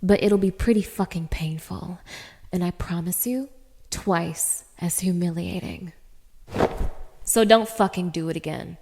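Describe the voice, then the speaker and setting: A woman's voice: fairly low pitch, a little slow, creaky, darkish tone. A woman playing a cruel, haughty young dominatrix in an audio roleplay, speaking straight to the listener with taunting, commanding contempt. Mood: scornful, menacing. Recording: reverberant, echoing room.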